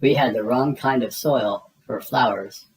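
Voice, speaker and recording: A woman's voice: extremely low pitch, measured pace, darkish tone, low-quality recording. A woman voicing a jolly, easygoing father pig with a good-humored manner.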